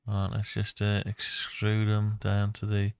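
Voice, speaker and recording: A man's voice: on the low side, monotone, a little slow, creaky, clear, resonant tone, dry recording. A man giving a casual think-aloud tutorial, muttering to himself as he talks through each step. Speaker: host of a CAD design tutorial.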